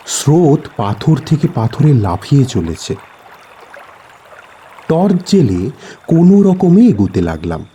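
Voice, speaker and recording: A man tells a suspenseful horror tale in a husky voice. His delivery is slow and dramatic, with expressive swings in pitch. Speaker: storyteller. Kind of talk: suspenseful horror audio story narration.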